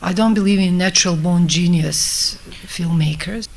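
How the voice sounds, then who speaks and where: Low-voiced Bosnian woman, reflective. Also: darkish tone. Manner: reflective, halting phrasing in non-native English. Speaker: film director giving a talk. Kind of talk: informal public talk, conversational.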